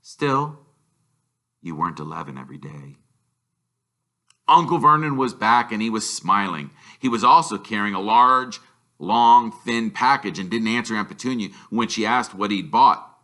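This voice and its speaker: Male narrator for a children's novel: expressive, emphatic, forceful bright tone, lively swings in pitch. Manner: expressive, emphatic, with drawn-out words. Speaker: teacher reading aloud. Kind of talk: animated storybook narration.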